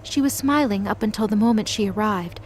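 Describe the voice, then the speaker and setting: A woman's voice: medium pitch, fairly quick, a little hiss or hum. A woman giving scripted, dramatic narration as the storyteller of an audio drama.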